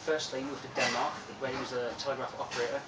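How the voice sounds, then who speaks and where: A soft-spoken young man giving an informal lecture, relaxed and chatty with frequent ums. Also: mid-range voice, fast talker. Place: lecture room with projector, indoors. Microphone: far room camera. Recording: echoey room from far off with loud hiss.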